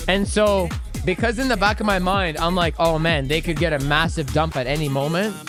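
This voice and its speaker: A young man talks casually on his crypto livestream, joking with viewers and drifting off topic. His voice sits a touch high and sounds a little worn from screaming. Faint music plays behind him. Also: steady tone, brisk. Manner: joking, rambling, breaks into singing. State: slightly lost voice. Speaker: livestream host, crypto trader.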